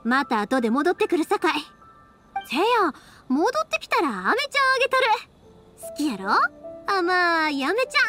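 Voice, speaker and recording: A woman's voice: sits high, wildly swooping pitch, moderate pace, loud music behind the voice. A woman voicing a spirited, caring big-sisterly young woman in an anime game, with a Kansai accent. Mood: kindly, reassuring.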